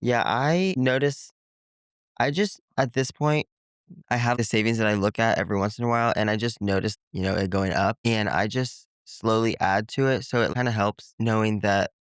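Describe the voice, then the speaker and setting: A young man, a college freshman, with a somewhat low voice. He answers briefly and matter-of-factly. The sound is dry and close, with no room echo. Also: normal speed, clean tone. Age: young adult. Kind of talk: casual interview chat.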